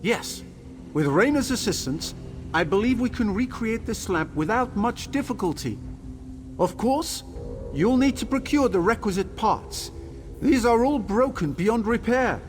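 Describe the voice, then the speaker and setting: An actor playing a fussy, curious and anxious scholarly tinkerer in a video game, speaking in a formal, exclamatory way. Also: male voice, high voice, lively intonation, measured pace, faint music behind. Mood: fascinated, explaining. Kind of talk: video game character dialogue.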